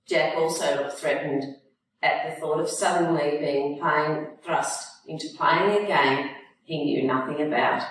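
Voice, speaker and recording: Aussie woman reading her novel out loud, lowish voice, really echoey room. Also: a little slow. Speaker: author reading own novel. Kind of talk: audiobook-style reading of fiction. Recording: echoey room, distant mic, faint noise.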